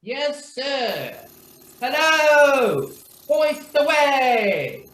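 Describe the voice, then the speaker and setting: An actor playing a deferential manservant in an old-time radio drama, formal and drawn-out in his speech. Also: extremely high pitch, sing-song, a bit bright, reverberant, exaggerated voice. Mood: calling out. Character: deferential male servant, hailing loudly and slowly.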